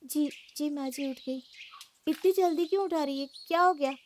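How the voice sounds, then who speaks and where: A woman's voice: sits high, normal speed, slight background noise, lightly acted. A woman playing a spoiled, defiant young married woman in a cartoon story, argumentative and complaining. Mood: irritated. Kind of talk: Hindi cartoon story acting.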